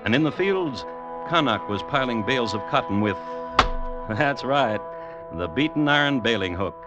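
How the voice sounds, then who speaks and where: A man's voice: mid-range voice, lively intonation, normal speed. A man narrating an old-time radio drama in a wry, conversational storytelling manner. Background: music.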